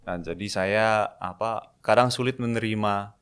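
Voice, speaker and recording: A young man with a smooth, resonant and fairly low voice, speaking as a guest on a podcast. He tells his story in a soft-spoken, measured way. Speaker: guest, a seminarian. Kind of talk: casual podcast interview.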